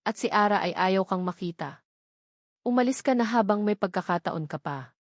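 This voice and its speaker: Woman voicing a calm, steely male politician. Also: medium pitch, normal speed, no echo, studio quality, lightly acted. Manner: firm, controlled, commanding.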